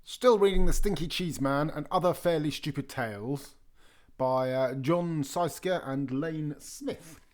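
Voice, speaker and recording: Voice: mid-range voice, unhurried, a little mellow. A children's storybook reader telling a tale aloud, with chatty asides.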